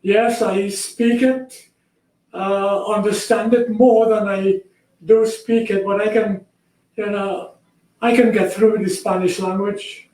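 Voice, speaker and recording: Slow, high-voiced elderly man, echoey. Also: steady tone, a little mellow. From Trinidad. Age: 80s. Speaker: guest, recovered patient. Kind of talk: conversational interview answers.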